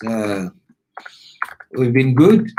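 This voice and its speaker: A man's voice: mid-range voice, big pitch swings, slow pace, background noise. A man who grew up in Ghana, chatting casually on a live stream, thoughtful and often searching for his words.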